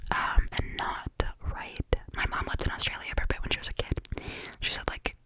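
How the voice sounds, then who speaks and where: A young woman from Canada, whispering to a close mic as she rambles casually with many fillers and restarts, in the style of ASMR. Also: normal speed. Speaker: ASMR creator.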